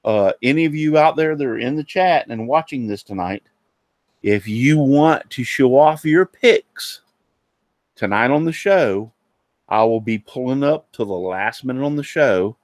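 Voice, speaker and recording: A man hosting a casual live-streamed woodworking talk show, sounding nasal and a little hoarse, rambling with many fillers and restarts. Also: medium pitch, animated, measured pace, no room sound, studio quality.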